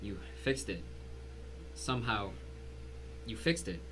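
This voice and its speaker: A man's voice: on the low side, slow pace, a bit bright, breathy edge, full character voice, low-quality recording. An actor playing a desperate, bitter man who has been cheated, tense and accusing, in an audio drama. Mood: accusing, fearful.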